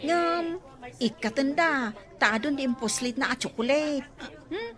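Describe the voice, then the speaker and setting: A woman's voice: high-pitched, animated, a little slow, a crowd in the background. A young woman playing a village girl who gossips and teases. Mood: gossipy.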